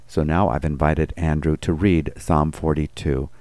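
A man's voice: extremely low pitch, flat delivery, unhurried, darkish tone, dry recording. A man reading scripted devotional commentary and scripture as a pastor.

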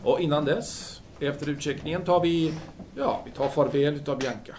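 A man playing a brooding, talkative 1930s Parisian philosopher in an audio drama, musing haltingly and formally. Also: a little high, a little mellow, a little hiss or hum, natural voice.

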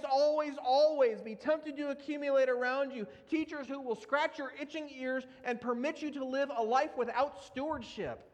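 A man preaching a sermon, earnest and emphatic as he works through scripture readings. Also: extremely high pitch. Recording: long reverb of a large hall.

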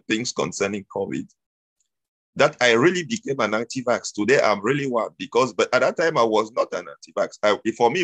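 A man's voice: lowish voice, fairly bright tone, no room sound, poor audio. A man, telling his story as an interview guest, hesitant with many fillers and restarts. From Cameroon.

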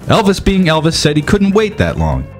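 A man's voice: fairly high pitch, wildly swooping pitch, normal speed, soft background music. A man reading scripted comic narration as a podcast narrator.

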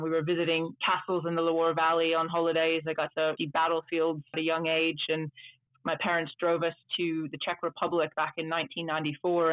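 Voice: mid-range voice, no echo. A native Dutch speaker in their thirties, a tour trip manager, chatting at length in an interview over a video call. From Netherlands and United States. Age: 30s. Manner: chatty, long rambling answers. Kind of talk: casual interview storytelling. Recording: tinny phone-like line, dry.

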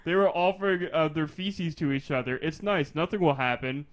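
A man's voice: a little high, fairly quick. A man co-hosting a casual comedy chat, retelling news stories and giggling as he reads aloud. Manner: giggly, breaks into laughter while reading aloud. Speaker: podcast co-host.

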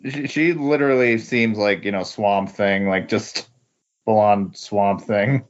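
A man chatting casually as a podcast host, halting and repeating words as he goes. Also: on the low side, fairly even intonation. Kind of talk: casual chat, reacting to co-host. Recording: dull, lo-fi voice recording.

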